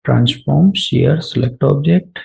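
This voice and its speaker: A man with an Indian accent narrating a step-by-step software tutorial, explaining each action aloud as an instructor. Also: medium pitch, moderate pace, creaky, breathy edge, rough recording.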